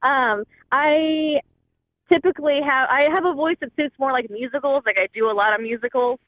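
Young woman caller, high voice, tinny phone sound, chatting kinda halting and casual. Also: no room sound. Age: 20s. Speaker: caller auditioning as a singer. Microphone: phone call. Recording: tinny, band-limited phone line.

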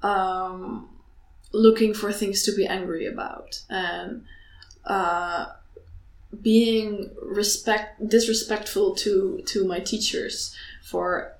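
Young woman, passionate and halting, candid talk, roomy recording. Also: medium pitch, steady tone, gravelly. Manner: earnest, passionate, halting with many restarts. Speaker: student activist. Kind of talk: candid conversation. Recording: echoey room.

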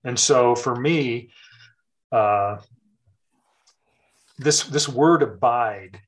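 A middle-aged man with a mid-range voice talks through scripture in a relaxed conversation. He is thoughtful and circles back on himself, with plenty of fillers and restarts. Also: mid-range voice, drawn-out words. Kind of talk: casual faith conversation.

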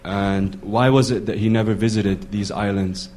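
A man's voice: lowish voice, steady tone, normal speed, darkish tone, a little hiss or hum. A man in a lecture audience asking a long question, hesitant with many fillers. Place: lecture hall.

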